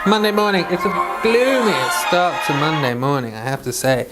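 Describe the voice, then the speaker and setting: A man's voice: a little high, big pitch swings, moderate pace, over loud music, rough recording. A man who hosts and reads aloud, moving between casual chat and scripture. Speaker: host and scripture reader.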